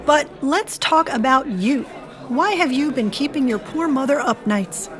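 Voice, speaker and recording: A woman's voice: high voice, expressive, unhurried. A woman acting in an audio drama as a flippant, sardonic, hard-drinking club performer. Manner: breezy, sardonic banter. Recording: loud club music behind the voice.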